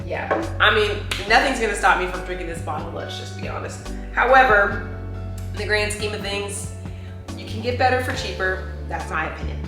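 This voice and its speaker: A middle-aged woman co-hosting a casual chat show, talking in a frank, slangy, expressive way. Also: medium pitch, normal speed. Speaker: co-host. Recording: very echoey room, faint music.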